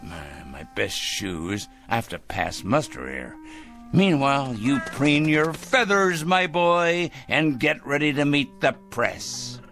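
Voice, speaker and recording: A man's voice: mid-range voice, sing-song, takes it slowly, gravelly, a bit bright, soft background music, full character voice. An actor playing a paranoid, smug middle-aged man in a 1960s TV drama, talking theatrically to his pet bird. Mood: fanatical. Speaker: fanatical letter-writing crank.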